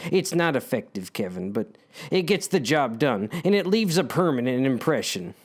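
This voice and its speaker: A man's voice: medium pitch, sing-song, moderate pace. A man reading his own story as dramatic narration, speaking to the characters in a wry, theatrical, conspiratorial way.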